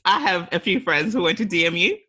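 Low-voiced chatty woman podcast host. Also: animated, bright, forceful tone, slightly rough. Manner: chatty, laughs often, quick rising questions. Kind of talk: casual podcast interview.

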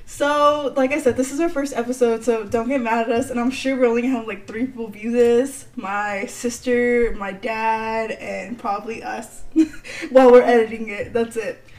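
A woman's voice: a little high, fairly even intonation, normal speed, a little mellow, distant mic. A woman in her twenties hosting a casual podcast, chatty and giggly, full of "like" fillers. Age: 20s. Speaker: podcast host. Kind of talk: casual chat.

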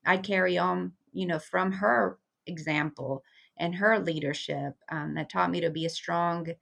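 A woman's voice: on the low side, steady tone, unhurried, gravelly, fairly bright tone. A woman from Venezuela, a community liaison, speaking earnestly and reflectively as a guest in an interview. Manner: earnest, reflective, with frequent fillers. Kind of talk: conversational interview.

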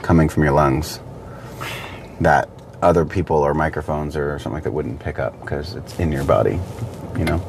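Co-host: man with a very deep voice, easygoing and explanatory, casual podcast chat. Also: steady tone, normal speed, creaky, slight background noise. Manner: explanatory, thinking aloud.

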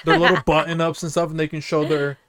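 A young man co-hosting a podcast in casual banter, joking fast and leaning on "you know what I mean". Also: a little high, level tone, a bit bright. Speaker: podcast co-host.

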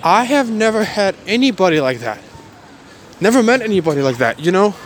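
A man of twenty-one giving a motivational personal monologue in a halting, repetitive, emphatic way. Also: sits high, big pitch swings, slight background noise.

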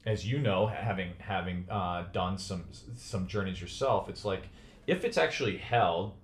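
Deep-voiced man from Chicago, roomy sound. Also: normal speed. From United States (Chicago). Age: 40s. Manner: earnest, thoughtful, restarts phrases while searching for words. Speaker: podcast guest. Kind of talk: reflective conversational interview. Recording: roomy echo.

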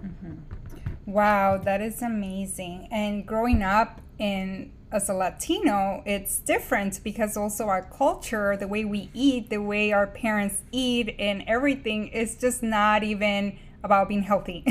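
A woman's voice: mid-range voice, unhurried, slight background noise. A woman hosting a podcast, reading a rhythmic scripted intro and then chatting casually with her guest. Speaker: podcast host.

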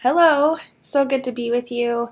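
A woman's voice: high voice, a little slow, over music. A woman hosting a podcast, introducing the episode and her guest with enthusiasm. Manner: warm, enthusiastic delivery. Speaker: podcast host. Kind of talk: scripted show introduction.

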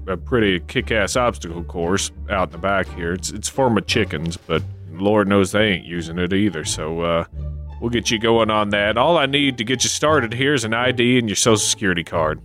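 Casting: a man as a drawling, hesitant male army recruiter with a lowish voice and faint music behind. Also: a bit bright, close to an everyday voice. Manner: hesitant, drawling, halting with fillers. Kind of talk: improvised comedy sketch.

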